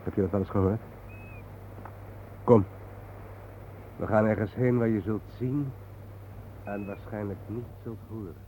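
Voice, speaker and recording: An actor playing a grave, world-weary man guiding his friend through war cemeteries in a solemn, measured, sardonic radio-play manner. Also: lowish voice, dark tone, a little hiss or hum, lightly acted. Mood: quietly purposeful. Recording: muffled phone-like old radio recording, reverberant.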